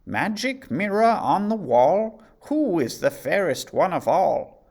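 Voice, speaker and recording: A man's voice: very animated, slow pace, darkish tone, exaggerated voice. A man voicing a cruel, vain and jealous queen who shrieks her threats in a storybook reading. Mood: haughty. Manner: shrill commanding threats.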